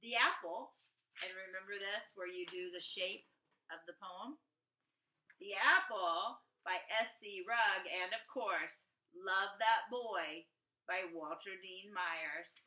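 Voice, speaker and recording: A woman teacher giving an expressive read-aloud lesson, speaking directly and encouragingly to young listeners. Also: medium pitch, slow. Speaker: teacher reading aloud to students. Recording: echoey room.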